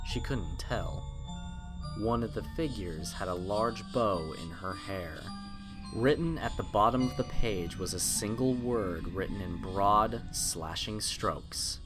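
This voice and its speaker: Male narrator for a horror tale, deepish voice, dramatic and deliberate, over clear background music. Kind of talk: scripted horror story narration.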